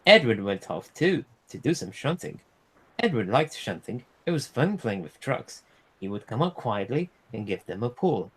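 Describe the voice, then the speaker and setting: A man reading a children's storybook aloud as a storyteller, in an animated style. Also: medium pitch, normal speed, husky, low-quality recording. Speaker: storyteller, streamer.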